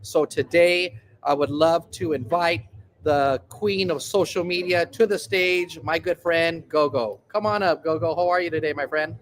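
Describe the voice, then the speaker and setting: A man hosts a live online masterclass with an upbeat, announcer-like delivery. His voice sits a little high for a man. It sounds like a computer mic on a video call. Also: fairly even intonation, normal speed, a little hiss or hum. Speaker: host. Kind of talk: live webinar introduction.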